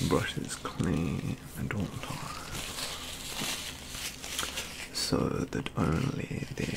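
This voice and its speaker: Guy doing a snooty rapturous artist painter for asmr, breathy whisper, super slow and really crackly, fancy wording, right up on the mic. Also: extremely low pitch, full character voice. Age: 20s. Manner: slow, deliberate, ornate, halting phrasing. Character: pompous, rapturous male model painter and collector, fussy aesthete. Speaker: ASMR roleplay performer. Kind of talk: soft-spoken ASMR roleplay. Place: indoor studio with plain backdrop. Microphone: close ASMR microphone.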